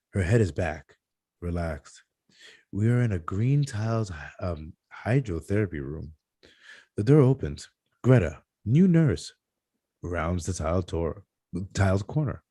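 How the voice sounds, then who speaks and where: Deep-voiced man reading stage directions. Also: mellow, husky, no room sound, clean studio sound. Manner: stumbles over words and corrects himself. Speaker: reader of stage directions at a script table read. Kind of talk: scripted narration read aloud live.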